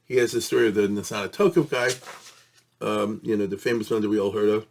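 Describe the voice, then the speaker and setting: A man's voice: fairly low pitch, a little fast, creaky. A male rabbi lecturing informally on religious history, with conversational asides, checking in with listeners and using Hebrew and Yiddish terms.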